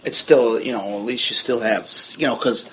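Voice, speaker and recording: A man with an average-pitched voice hosts a relaxed chat, thinking out loud and circling back on himself. A faint room ambience hums underneath. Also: normal speed, slight background noise, poor audio. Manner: thoughtful, rambling, many restarts. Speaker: podcast host. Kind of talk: casual podcast conversation.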